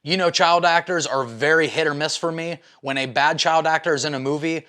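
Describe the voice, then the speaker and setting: Guy doing a casual movie review, slightly high voice, into it and slangy, lots of opinions. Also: brisk, bright, forceful tone, smooth. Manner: informal, enthusiastic, slangy. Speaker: movie reviewer, video host.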